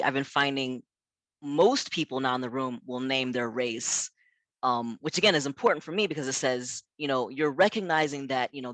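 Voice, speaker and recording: Midwestern-accented man, minister, mid-pitched, casual teaching talk with lots of "right?", dry recording. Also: fairly bright tone, dry recording. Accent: Midwestern American. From South Africa. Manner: chatty, peppered with "you know" and "right?"; earnest and emphatic. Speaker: minister leading a workshop. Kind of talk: conversational workshop presentation.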